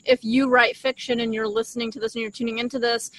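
Higher-pitched young woman podcaster. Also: flat delivery, fast, dry recording, rough recording. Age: young adult. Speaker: podcast co-host. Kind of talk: casual conversational podcast talk. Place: outdoors in a leafy garden. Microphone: shared desktop condenser microphone.

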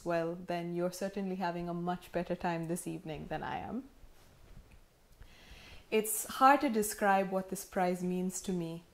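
A woman in her thirties, heavily pregnant, delivering a sincere, measured acceptance speech with gentle humor, recorded at home in her living room. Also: on the low side. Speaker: prize winner giving acceptance speech. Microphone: camera in front, seated framing.

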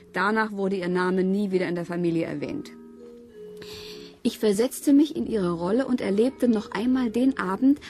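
A woman acts out a young female student in a radio play. She is lively, easy-going and fond of romance. Her voice is medium in pitch, with soft music behind it. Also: close to an everyday voice. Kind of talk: German radio play acting.

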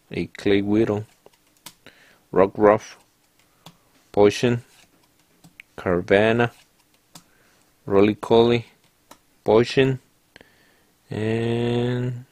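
A man's voice: lowish voice, dry recording. A man hosting his own channel, chatting casually to viewers as he opens card packs and reads out each card. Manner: relaxed, talks to viewers while reading out cards. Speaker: card-opening channel host. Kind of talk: casual pack-opening narration.

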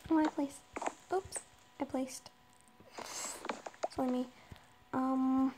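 A woman with a slightly raspy voice, chatting casually to herself while she builds in a roleplay game, hesitant with lots of pauses. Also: high-pitched, fairly even intonation. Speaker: Minecraft roleplay player. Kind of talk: casual gameplay narration. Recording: noisy background.

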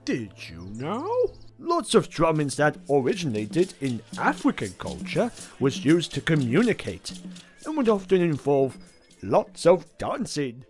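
A man's voice: on the high side, wildly swooping pitch, measured pace, faint music behind. A man voicing a fussy, befuddled know-it-all in a radio sitcom, dithering and exclaiming as he chats pedantically. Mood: fussy, flustered.

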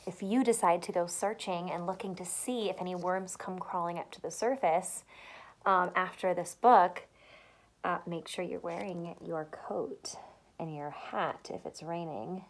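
A young adult woman, a teacher, reading a picture book aloud to small children with expressive delivery and simple chatty questions. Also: lowish voice, a little slow. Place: small furnished room at home, indoors.

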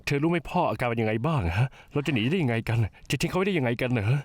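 A man's voice: medium pitch, expressive, racing, no echo, close to an everyday voice. A man dubbing a worried husband in a television drama, speaking in an anxious, protesting way about his sick father. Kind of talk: dubbed television drama acting.

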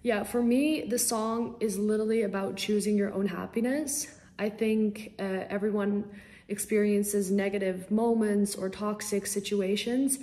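A young woman from the Netherlands talks about her music over a laptop call from a small room at home. Her voice is mellow and soft, and her answers come out bubbly and eager, dotted with ums. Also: medium pitch, fairly even intonation, measured pace. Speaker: singer-songwriter guest. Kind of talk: casual interview answers.

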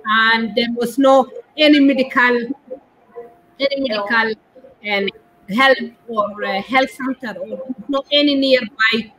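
A woman in her thirties with a Somali accent, explaining haltingly as an interview guest on a video call, searching for words. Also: on the high side, fairly bright tone, slightly rough, low-quality recording. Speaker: guest, doctor and women's rights activist. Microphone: laptop or phone on a video call.

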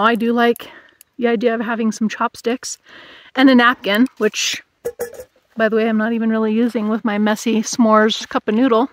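A woman's voice: fairly high pitch, fairly bright tone, no room sound. A woman in her thirties reviewing camping gear in a casual vlog, musing aloud while she eats, recorded outdoors in a meadow.

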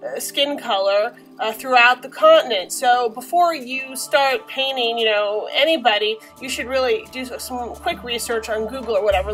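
A young woman, slightly hoarse from a cold, chatting casually through a painting tutorial in a small bedroom, recorded on the camera mic with many fillers. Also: on the high side, fairly bright tone.

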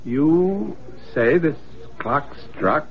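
A man's voice: on the high side, lively intonation, very slow, heavily acted. A man playing a polite, plain-spoken seller of household goods in a radio drama. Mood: obliging. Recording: dull lo-fi radio recording.

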